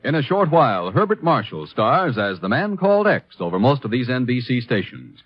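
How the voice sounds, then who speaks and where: A man's voice: mid-range voice, very animated, normal speed, over loud music. A man with an American accent reading scripted promos as a 1950s radio network announcer. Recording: dry, muffled old radio broadcast.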